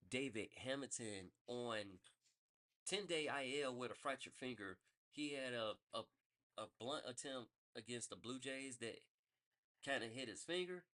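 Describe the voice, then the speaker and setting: A man's voice: medium pitch, pushed and bright. A man hosting a sports podcast, reading baseball news in a loose, rambling way and correcting himself as he goes. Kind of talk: casual sports news rundown.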